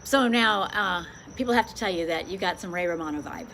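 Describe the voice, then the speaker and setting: A woman's voice: lowish voice, fairly quick, a bit bright, slight background noise. A woman hosting an interview, asking questions in a chatty, encouraging way. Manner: chatty, encouraging, frequent mm-hmms. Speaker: host, interviewer.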